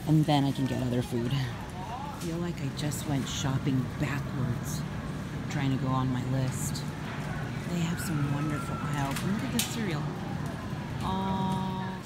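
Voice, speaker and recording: A woman vlogger chatting casually to her viewers, hoarse from a cold and losing her voice. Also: deep voice, animated, measured pace, heavy background noise. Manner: chatty, talks straight to viewers with asides. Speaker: vlogger showing a grocery haul. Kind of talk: casual chatty vlog talk.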